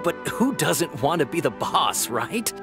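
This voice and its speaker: A man's voice: a little high, sing-song, measured pace. Young policeman for a game: pushy, speculative, sure of his theories, over audible music. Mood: insistent. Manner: insistent, speculative, emphatic. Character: opinionated younger policeman, insistent conspiracy theorist. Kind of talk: video game voice acting, dialogue.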